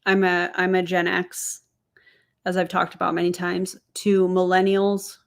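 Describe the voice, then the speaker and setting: A woman's voice: fairly low pitch, monotone, measured pace, creaky. A woman in her forties hosting a reaction commentary, sarcastic and incredulous, talking straight to her viewers. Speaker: commentary host.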